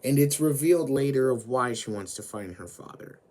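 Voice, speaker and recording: A man with a hoarse voice, slowly retelling an anime story as host of his own channel. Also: mid-range voice, animated, darkish tone. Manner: slow, deliberate storytelling with frequent fillers.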